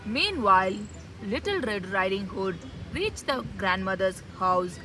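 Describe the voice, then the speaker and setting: A woman's voice: mid-range voice, lively intonation, a little slow, background noise. A woman narrating a children's story from a script for a puppet show.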